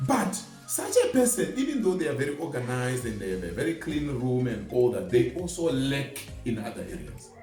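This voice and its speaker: A man's voice: mid-range voice, very animated, brisk, hardly any pauses, darkish tone. A man giving a conversational motivational talk, illustrating his points with everyday examples. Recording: echoey room, distant mic, music underneath. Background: music.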